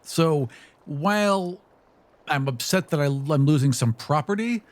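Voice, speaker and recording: A man's voice: a little high, expressive, unhurried. A man playing a tabletop roleplaying game, casually narrating what his character does. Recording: music playing behind the voice. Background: background music.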